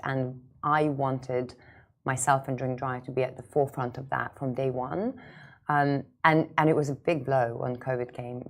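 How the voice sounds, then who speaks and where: A woman in her thirties from Lithuania, a founder speaking conversationally as an interview guest, with emphatic repetition. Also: rumbling low. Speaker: startup founder, podcast guest.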